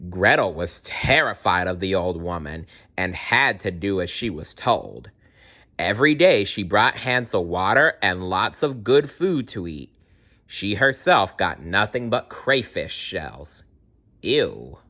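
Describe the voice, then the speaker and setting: A man tells a children's fairy tale in a bright, animated voice. His pitch moves around a lot, and he reads playfully, reacting to the story as he goes. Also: slow. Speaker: storyteller reading aloud. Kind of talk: expressive fairy-tale read-aloud with asides.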